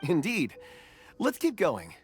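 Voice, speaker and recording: An actor playing a gentle, thoughtful young man in a video game, polite, reflective and slightly hesitant. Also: fairly high pitch, wildly swooping pitch, takes it slowly, music in the background, heavily acted. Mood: thoughtful, calm. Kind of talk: video game character dialogue.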